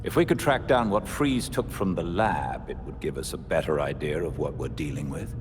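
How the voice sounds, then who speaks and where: Deep-voiced, serious crimefighter. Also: male voice, lively intonation, moderate pace, noisy background. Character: serious, level-headed male crimefighter. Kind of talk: video game cutscene acting. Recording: long reverb, loud music behind.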